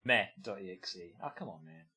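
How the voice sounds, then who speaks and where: A man's voice: low voice, lively intonation, fairly quick, bright, forceful tone, husky. A man streaming and commenting casually on games, with offhand, meandering remarks. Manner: offhand, meandering, muttering asides.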